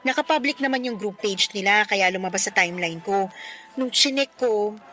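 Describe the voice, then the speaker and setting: A woman's voice: mid-range voice, moderate pace, pushed and bright, faint music behind, natural voice. An actress playing a concerned best friend in a radio drama, earnest and insistent as she explains and justifies herself. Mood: explaining, defensive. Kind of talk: Filipino radio drama acting.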